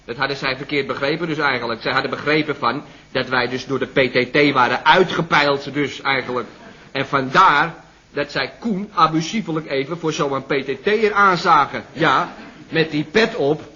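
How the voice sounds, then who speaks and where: A man's voice: on the high side, normal speed, sharp tone. A man playing a pedantic know-it-all comic sidekick in a 1960s radio play who argues in circles. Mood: explaining. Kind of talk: 1960s radio drama acting. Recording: dull lo-fi old radio recording.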